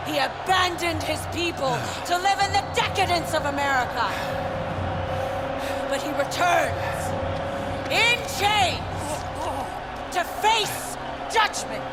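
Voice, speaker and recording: A woman playing a commanding arena announcer in a video game, declaiming grandly in drawn-out phrases. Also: high-pitched, expressive. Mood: rousing, accusing. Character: commanding arena announcer rousing a crowd against prisoners. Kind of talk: video game cutscene acting. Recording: loud music and roaring crowd.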